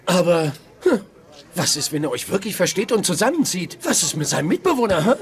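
A man's voice: high-pitched, very animated, brisk, very noisy. A man playing a grudging, sarcastic, scheming roommate in a dubbed sitcom, hesitant at times. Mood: probing, scheming. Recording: clear crowd chatter behind.

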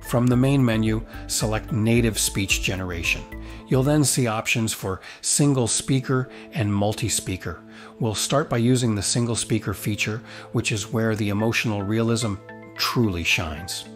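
A man's voice: fairly low pitch, moderate pace. Enthusiastic male tutorial host over music. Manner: enthusiastic, promotional. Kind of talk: upbeat scripted tutorial narration. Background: background music.

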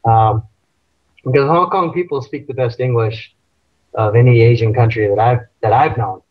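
A man's voice: mid-range voice, moderate pace, dry recording. A man in his thirties, a stunt actor and fight choreographer, talking casually and self-deprecatingly as a guest on a video-call interview from a room at home. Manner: rambling, self-deprecating, laughs often. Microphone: webcam on video call. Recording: dull lo-fi call audio.

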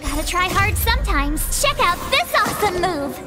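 A perky teenage girl adventurer from a video game, chatters in a very high, lively voice. She sounds breezy and playful over loud game music. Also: moderate pace. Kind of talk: video game character dialogue.

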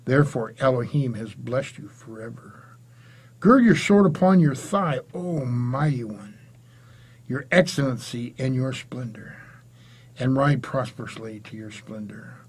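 An older man, sixties or beyond, with a husky voice, giving an earnest, informal Bible lesson with emphatic reading and quieter asides. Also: mid-range voice, animated, measured pace. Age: 60s or older. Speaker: storytelling Bible teacher. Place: small indoor room with curtain and bookshelf.

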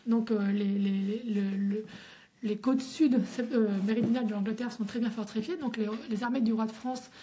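A woman's voice: on the high side, fairly even intonation, moderate pace, dark tone. A woman historian explaining the period at length, with frequent hesitations and restarts. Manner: hesitant, many fillers and restarts. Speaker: historian guest. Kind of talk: academic explanation.

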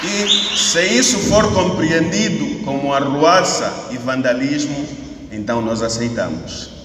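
A man in his thirties with an Angolan Portuguese accent, speaking as an opposition party spokesman at a press statement. He asks rhetorical questions, repeats phrases for emphasis, and rises to shouting. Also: on the high side, measured pace, far from the mic. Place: indoor hall at a podium. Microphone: podium mic on a stand, camera at a distance. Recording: echoey hall recording from the camera, faint ambient noise.

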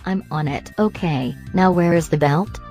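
A woman playing an eager, reassuring helper character in a cartoon roleplay, reading the lines flatly. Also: sits low, moderate pace. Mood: eager, helpful. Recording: loud music behind the voice. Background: music.

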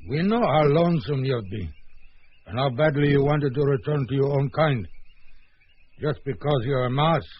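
An actor playing a chiding yet sympathetic talking creature in a radio drama. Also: male voice, mid-range voice, normal speed, breathy edge, natural voice. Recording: muffled radio recording with faint noise.

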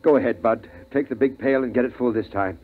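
An actor playing a steady, protective father in a 1950s radio drama, firm, practical and reassuring. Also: medium pitch, fairly quick, natural voice. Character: steady, protective father calming his family. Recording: tinny band-limited 1950s radio recording.

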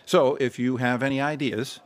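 An actor playing an easygoing Bible study leader in an audio drama, speaking casually to a small group. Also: male voice, medium pitch, fairly even intonation, normal speed, natural voice. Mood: relaxed. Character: male pastor leading a small Bible study. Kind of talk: scripted audio drama acting.

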